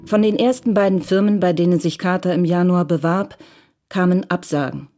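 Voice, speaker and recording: A woman reading scripted narration for a radio crime drama in a composed, measured way. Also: lowish voice, steady tone, noisy background.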